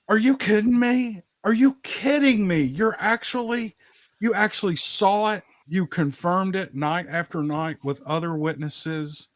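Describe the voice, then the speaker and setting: A man's voice: high voice, no room sound, clean studio sound. A man telling anecdotes in casual podcast chat, folksy and given to repeating his phrases for emphasis.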